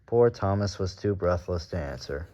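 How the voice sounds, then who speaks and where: A man's voice: low-pitched, lively intonation, normal speed, warm tone, muffled recording. A man narrating a children's storybook aloud as the story reader.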